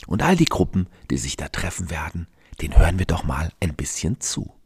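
A man with a deep, heavily rasping voice narrates a children's radio play. He speaks to the listeners in a chatty storyteller manner, with animated swings in pitch. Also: brisk. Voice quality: husky, rough.

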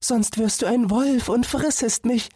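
A man's voice: very high-pitched, unhurried, darkish tone, breathy edge, no room sound, clean studio sound, pitched above the performer's own voice, heavily acted. A man voicing an anxious, devoted little girl in a fairy tale, speaking in a pleading, tender manner.

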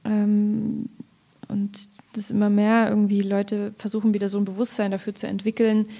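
Female poet, mid-pitched, soft dark tone, informal, reflective literary talk. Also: completely flat delivery, normal speed, creaky. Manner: reflective, informal, thinking aloud. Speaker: poet in discussion. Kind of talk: spontaneous literary conversation.

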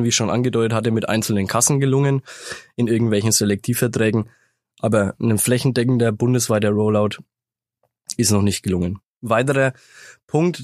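Measured man with a slight cold. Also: medium pitch, no echo. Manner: measured, explanatory, with "ne?" tags. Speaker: sales manager, interview guest. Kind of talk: business interview answers. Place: company sound studio. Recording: dry studio sound.

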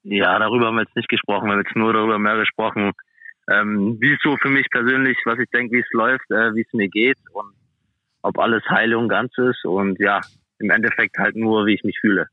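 A man's voice: on the low side, steady tone, brisk, no room sound, narrow, tinny audio. A man being interviewed casually as an athlete guest, speaking in a hesitant, plain-spoken way. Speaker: guest, professional ice hockey player.

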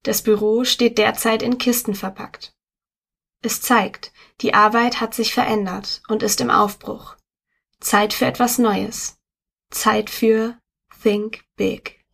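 A woman reading a magazine article aloud in a steady, measured scripted narration on a close studio mic. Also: a little high, creaky. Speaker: article reader.

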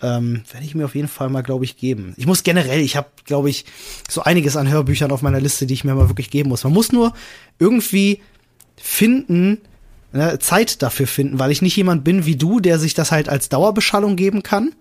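Higher-pitched man chatting on a podcast. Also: brisk, a little mellow. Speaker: podcast host. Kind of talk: casual podcast chat.

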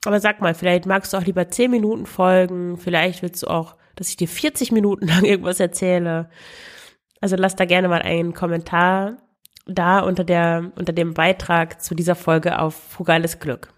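A woman's voice: lowish voice, fairly even intonation, normal speed. A woman hosting a podcast with frugal living tips, talking in a chatty, direct way to her listener while she has a cold. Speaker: podcast host. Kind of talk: casual money-saving tips podcast.

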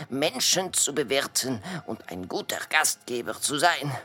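An eager butterfly waiter from a children's audio play, speaking with a French accent. The voice sits low for a woman, bright and unhurried, and swings expressively with polite, plaintive drama. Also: slightly rough, heavily acted. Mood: passionate.

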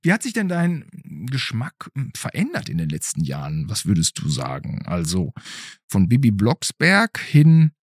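A man's voice: on the low side, huge pitch swings, normal speed, husky, no echo, studio quality. A man hosting a casual interview with a child, asking questions in a playfully impressed manner. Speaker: podcast host.

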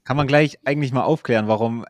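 A man's voice: mid-range voice, fast, dry recording. Chatty man in a dry studio. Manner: chatty, laughing, rambling with fillers. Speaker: social media manager, guest. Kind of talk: casual podcast chat.

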